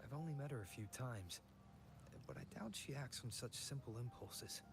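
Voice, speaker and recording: An actor playing a serious, wary young warrior champion in a video game, earnest and composed. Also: male voice, medium pitch, expressive, moderate pace, creaky. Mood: wary, pensive. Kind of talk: scripted video game dialogue. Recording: loud game music behind the voice. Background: game music.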